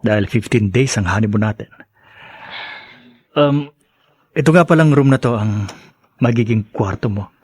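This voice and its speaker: Earnest, husky lovelorn young man. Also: on the low side, darkish tone, no echo. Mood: gentle, reassuring. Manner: halting, sincere, heartfelt. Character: earnest, lovelorn, self-deprecating young man, gentle and devoted. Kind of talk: melodramatic radio-style drama acting.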